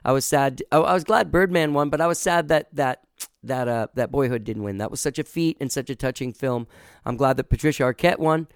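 A man hosting a casual local radio show, hoarse from rehearsing and singing, rambling enthusiastically with lots of fillers and restarts. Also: mid-range voice, a little fast, no room sound, studio quality. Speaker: show host.

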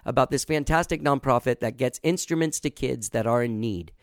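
A man hosting a casual local radio show, hoarse from rehearsing and singing, rambling enthusiastically with lots of fillers and restarts. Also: medium pitch, a little fast, no room sound, clean studio sound. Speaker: show host.

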